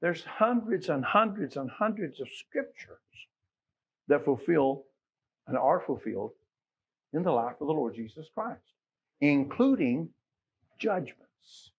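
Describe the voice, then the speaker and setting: Middle-aged man, American accent, high husky voice, emphatic Baptist preacher, rhetorical questions, slightly dull church recording. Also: big pitch swings, slow pace, pauses often. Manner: emphatic, swinging from quiet asides to loud exclamations, rhetorical questions. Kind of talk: Sunday sermon with scripture quotations. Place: small church sanctuary, at the pulpit.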